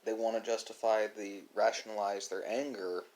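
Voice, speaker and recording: A man giving a reflective, philosophical monologue as an online host, speaking haltingly with drawn-out pauses. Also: on the low side, level tone, darkish tone. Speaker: host of an ASMR mukbang channel.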